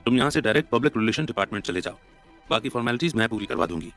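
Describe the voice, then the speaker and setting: A stern young wife, read by a man cautions earnestly and with worry. The voice sits at a medium male pitch in an audio story with music behind it. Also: lively intonation, very fast, a bit bright. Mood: serious pleading. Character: stern, worried young wife giving instructions.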